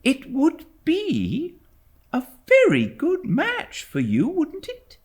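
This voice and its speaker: A man doing a gentle, fragile young mother. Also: very high-pitched, wildly swooping pitch, takes it slowly, pitched above the performer's own voice, full character voice. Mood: teasing. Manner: soft, pleading, fluttery.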